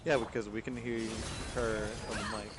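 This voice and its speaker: A man's voice: on the low side, steady tone, normal speed. A man making casual, slangy banter as a gaming companion, picked up off-mic. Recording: very noisy, off-mic room sound. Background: loud noise.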